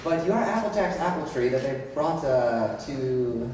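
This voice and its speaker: A man's voice: medium pitch, expressive, moderate pace, a little hiss or hum. A man playfully putting questions to bachelors in a live stage game. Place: large convention hall. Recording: echoey hall from far, loud crowd. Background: loud audience.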